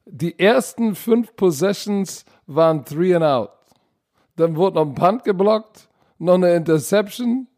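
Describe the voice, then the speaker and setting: Male co-host, slightly high-pitched, excitable, slangy banter. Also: a little slow. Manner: excitable, slangy, repeats words. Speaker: podcast co-host. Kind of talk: casual sports chat.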